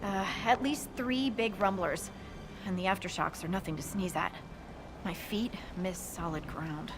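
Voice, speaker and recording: A woman's voice: mid-range voice, a little slow, over music, natural voice. An actress playing a wry, informal dwarf scout woman in a fantasy video game, with an offhand manner. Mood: wry, casual. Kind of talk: video game cutscene acting.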